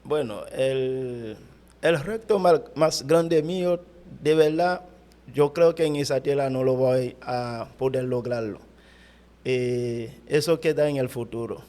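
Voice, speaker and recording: Haitian pastor, halting Spanish, mellow voice. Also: male voice, medium pitch. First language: Haitian Creole. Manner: halting, searching for words in Spanish. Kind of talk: interview answers.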